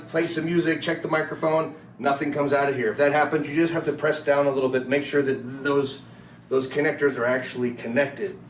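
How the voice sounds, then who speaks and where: A man giving a casual product review and demonstration in a plain-spoken, explanatory way. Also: a little high. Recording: roomy, distant mic with background noise.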